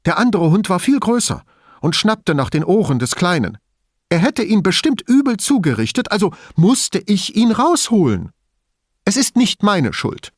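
An agitated woman in an audiobook , acted out by a male reader, pitched high and swinging widely in tone. She is theatrical and defensive, with spite and indignation pressed into emphatic words. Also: sing-song, moderate pace, dry recording, studio quality. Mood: defensive, pleading. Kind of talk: audiobook character dialogue.

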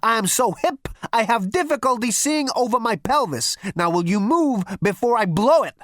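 Lady voicing a pushy cocky spaceman dude, loud and brash, dry mic. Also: on the high side, expressive, moderate pace, no room sound, voice pushed up, lightly acted. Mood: demanding. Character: brash, swaggering hipster male space adventurer.

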